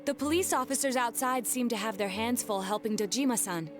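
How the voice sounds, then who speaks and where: A woman's voice: a little high, a little fast, music in the background, close to an everyday voice. A woman playing a composed young detective in a video game, earnest, formal and precise in explaining. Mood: formal, concerned. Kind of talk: English video game voice acting.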